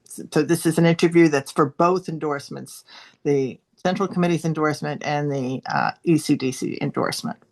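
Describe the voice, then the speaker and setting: A woman interviewing a candidate for a political club on a video call, polite and halting with many fillers. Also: low voice, fairly even intonation, gravelly. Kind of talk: moderating an endorsement interview.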